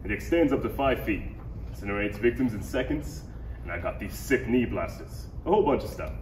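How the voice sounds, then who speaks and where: A man's voice: fairly low pitch, expressive, brisk, a little mellow, lightly acted. A man playing a cool, gadget-proud bounty hunter father in a parody table read. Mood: easygoing, proud. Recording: echoey room, distant mic, faint noise.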